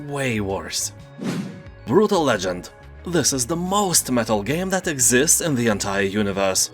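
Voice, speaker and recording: A man narrating a game deals video from a script, slipping in wry, joking asides. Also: mid-range voice. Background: faint music.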